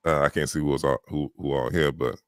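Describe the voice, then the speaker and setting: Deep-bass male narrator as live-chat guest, relaxed and rambling, dry close recording. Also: quick pace, no echo. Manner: loose, rambling, peppered with "you know" and "right".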